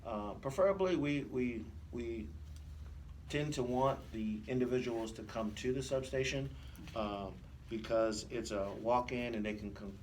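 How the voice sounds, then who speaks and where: A man's voice: mid-range voice, a little slow, darkish tone. A man answering interview questions as a police officer, explaining procedures in a hesitant, unscripted way. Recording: distant mic, background room noise.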